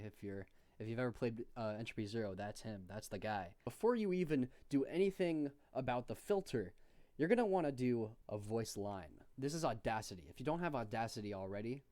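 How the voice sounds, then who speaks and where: A man giving an informal, offhand software tutorial with slangy asides. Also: medium pitch. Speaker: tutorial host. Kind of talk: casual software tutorial narration.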